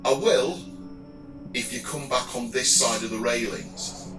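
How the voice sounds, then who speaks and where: An actor playing a worried young male passer-by, awkward but determined, speaking haltingly in a radio drama. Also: mid-range voice, lightly acted. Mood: concerned, hesitant. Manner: hesitant, halting. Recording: roomy, distant from mic, music behind.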